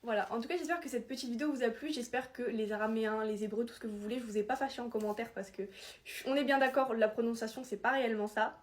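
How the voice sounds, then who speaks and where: A woman's voice: a little high, fairly even intonation, quick pace. Chatty young woman with slight Italian accent. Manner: chatty, self-deprecating, frequent restarts and fillers. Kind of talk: casual explainer talking to camera.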